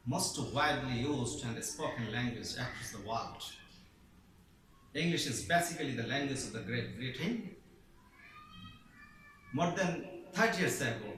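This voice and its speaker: A man's voice: mid-range voice, noisy background. A man from Bangladesh with a Bangladeshi accent, an English teacher giving a formal, declamatory speech with emphatic stresses, recorded from a distance in an echoey room. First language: Bengali. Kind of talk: prepared speech.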